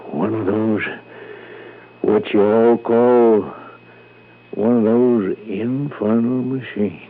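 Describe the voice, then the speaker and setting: Folksy Southern small-towner, rambling, hesitant, polite, old-time radio drama, tinny phone-like sound. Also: male voice, on the low side, steady tone, slow. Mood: hesitant, earnest. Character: rambling, courteous small-town Southern man reporting danger. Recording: tinny, band-limited vintage radio recording.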